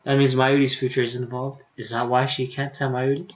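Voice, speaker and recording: A man livestreaming a visual novel, reading the dialogue aloud haltingly with stumbles and self-corrections, and adding casual commentary. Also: mid-range voice, level tone, lo-fi sound.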